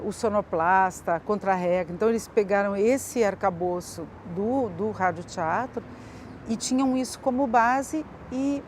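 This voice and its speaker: A woman's voice: mid-range voice, a little mellow, a little hiss or hum. A woman explaining informally in an interview.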